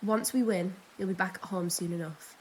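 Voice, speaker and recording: A woman's voice: on the low side, brisk, lightly acted. A woman playing a firm, dutiful military figure in a radio drama, stern and clipped. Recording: faint hiss behind voice.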